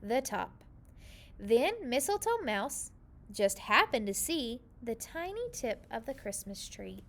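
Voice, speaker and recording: A woman reading a children's story aloud in an expressive, animated storytelling manner. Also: on the high side, takes it slowly. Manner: expressive, animated delivery with character voices. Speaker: storybook reader.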